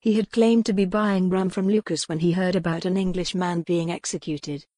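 A woman's voice: fairly low pitch, fairly even intonation, moderate pace, mellow, no room sound, clean studio sound. A woman narrating a historical novel as scripted dramatic audiobook fiction.

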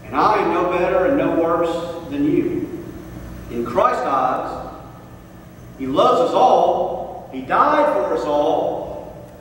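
A man's voice: a little high, animated, slow, long pauses, fairly bright tone. A middle-aged man preaching a sermon from a pulpit, building through repeated lines, recorded from across a church sanctuary. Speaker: pastor. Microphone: far camera, pulpit microphone. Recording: echoey hall from far off, faint noise.

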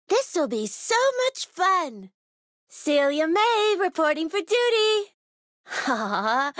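A woman voicing a bubbly, sweet, eager female monster racer in a video game, with an expressive, gushing delivery. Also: very high-pitched, dry recording, clean studio sound, exaggerated voice. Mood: delighted, gushing. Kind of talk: video game character voice clips.

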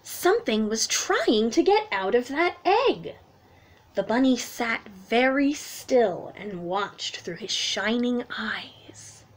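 A woman with a high voice gives a storytime read-aloud for young children. She takes her time and explains things simply, in the expressive way of a children's teacher. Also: slow pace, rough recording. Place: small room at home, indoors.

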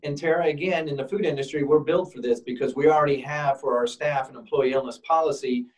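A man's voice: mid-range voice, steady tone, fast talker. A man on a video call explaining food safety cleaning and employee illness policies. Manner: practical, reassuring. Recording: distant from the mic.

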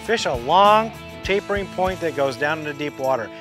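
A man's voice: fairly high pitch, expressive, moderate pace. A middle-aged man giving practical fishing tips outdoors on a boat by a lake, explaining step by step with frequent restarts. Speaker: fishing instructor. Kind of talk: outdoor instructional talk. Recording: music bed under the voice. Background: guitar music.

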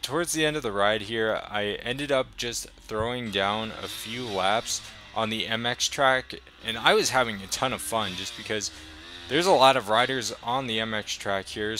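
A young man talking casually over his riding footage as a motovlogger, relaxed and enthusiastic, a little rambling. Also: on the low side, a little hiss or hum.

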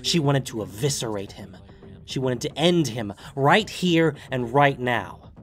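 Animated male story narrator. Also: mid-range voice, unhurried. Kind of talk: dramatic scripted story narration.

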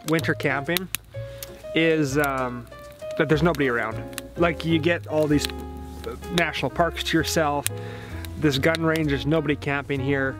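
An upbeat young man in his twenties talks to a camera held at arm's length, his voice sitting a little high. He rambles cheerfully and laughs as he thinks aloud, with clear music playing under him. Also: fairly even intonation, unhurried. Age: 20s. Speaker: RV travel vlogger. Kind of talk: casual vlog to camera. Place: snowy campground outdoors and inside a small truck camper. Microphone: handheld camera at arm's length.

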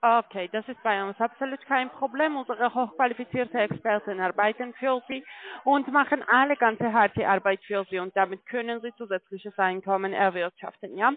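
A woman's voice: a little high, moderate pace, dry recording. Foreign-accented woman on a tinny phone line. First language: not German. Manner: hesitant, often checking with "ja?". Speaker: call-centre sales agent. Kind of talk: telephone sales pitch. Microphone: telephone.

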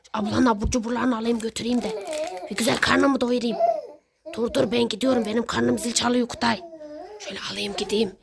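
A woman playing a sneaky, hungry neighbor villain in a children's pretend-play skit, muttering theatrically to themselves with drawn-out complaints. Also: fairly high pitch, fairly even intonation, gravelly, a loud crowd. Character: sneaky, hungry Hello Neighbor villain, seeker and snack thief. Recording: loud music over the voice.

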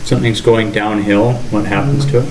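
A man's voice: on the low side, level tone, a little fast, a crowd in the background. A young man teaching a physics lesson at a whiteboard, questioning students as he gestures and explains. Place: classroom with whiteboard, indoors. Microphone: far camera across the room. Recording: echoey classroom, faint noise.

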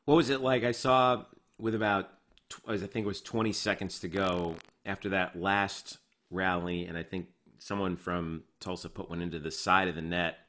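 A man works as a reporter at a sports press conference. His voice is fairly low, with a bright, forceful edge as he puts his questions. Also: flat delivery, moderate pace.

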